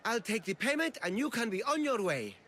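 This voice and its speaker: High-pitched, animated market merchant. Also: male voice, brisk, slight background noise. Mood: sly, pleased. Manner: salesmanlike, theatrical, ingratiating. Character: brash, money-loving male Greek market merchant. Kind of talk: video game street hawker acting.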